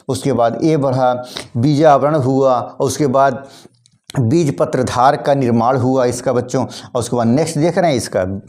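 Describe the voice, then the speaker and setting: A man teaching a biology lesson to students, hoarse from a cold, repeating his points and often clearing his throat. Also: medium pitch, mellow. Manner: repetitive, addresses students as kids, frequent throat clearing. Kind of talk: classroom lesson addressing students.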